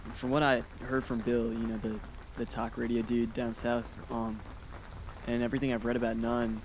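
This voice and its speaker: A man playing an easygoing, level-headed high school boy in a radio drama. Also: flat delivery, moderate pace, close to an everyday voice. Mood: thoughtful. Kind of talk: scripted radio drama acting. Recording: clear rain and outdoor noise behind.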